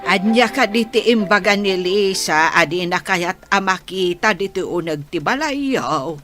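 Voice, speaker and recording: A woman's voice: mid-range voice, animated, unhurried, fairly bright tone, soft background music. A woman playing an inquisitive, concerned older mother in a radio drama, questioning in a conversational way. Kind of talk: Filipino radio drama acting.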